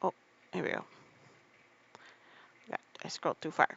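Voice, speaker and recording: A woman reading aloud in a drowsy, soft-spoken, rambling bedtime style, with casual asides. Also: normal speed, muffled recording, slight background noise.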